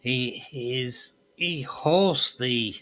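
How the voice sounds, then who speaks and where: A man's voice: medium pitch, extremely slow, pushed and bright. A man presenting an amateur radio tutorial, explaining methodically step by step over a demonstration.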